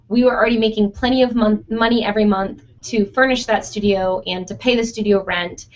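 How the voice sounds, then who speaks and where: A young woman who runs a photo studio, answering listener questions in a chatty, casual way with frequent fillers and laughs, her voice going hoarse. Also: medium pitch, slight background noise. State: losing her voice. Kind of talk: casual Q&A monologue. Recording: dull lo-fi sound.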